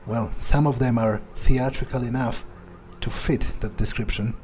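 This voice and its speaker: A man's voice: medium pitch, steady tone, moderate pace, darkish tone, a little hiss or hum. A man playing a game in character, thinking aloud in a casual, hesitant, musing way.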